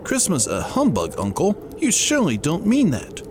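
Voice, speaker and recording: Storybook nephew, played by a man: cheerful, earnest, pitch lifted and hugely swooping, clear noise behind the voice. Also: wildly swooping pitch, moderate pace. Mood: surprised. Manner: earnest, bright-spirited pleading. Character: cheerful, pleading nephew of an old miser. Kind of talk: storybook character reading.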